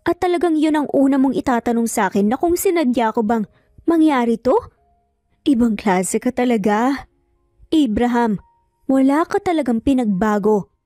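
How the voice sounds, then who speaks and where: A woman's voice: a little high, expressive, moderate pace, long pauses, warm tone, no room sound, studio quality, soft background music, lightly acted. A woman voicing an exasperated, proud Filipino woman lawyer and mother in a story, sarcastic and reproachful. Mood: hurt, annoyed.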